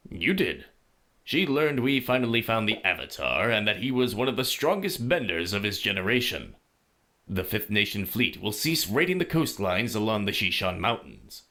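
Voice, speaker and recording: An actor playing a calm, shrewd older male mentor in a visual novel, speaking in a measured, formal way. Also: on the low side, heavily acted. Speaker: teacher. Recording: music behind the voice.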